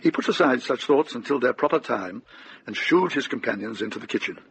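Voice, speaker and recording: An actor narrating as a grave, measured storyteller in a scripted radio drama. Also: male voice, medium pitch, animated, gravelly, fairly bright tone, a little hiss or hum, low-quality recording.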